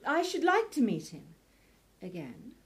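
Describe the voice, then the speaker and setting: A woman plays a young lady in an audio drama, fresh from school. Her voice is fairly high and very animated in pitch. She is polite, proper and quietly self-assured. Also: measured pace. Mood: demure, assured.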